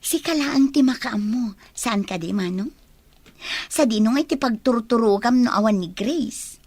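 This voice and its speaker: A woman's voice: fairly high pitch, unhurried, darkish tone, dry recording. An actress playing a suspicious, probing woman of the household in an Ilocano radio drama, asking insinuating questions.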